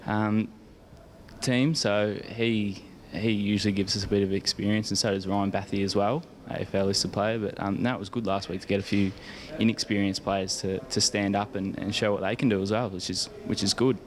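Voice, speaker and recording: A man's voice: fairly low pitch, flat delivery, normal speed, slight background noise. A young man with an Australian accent giving casual, hesitant, rambling answers as an interviewed athlete. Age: young adult. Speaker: Australian rules footballer being interviewed.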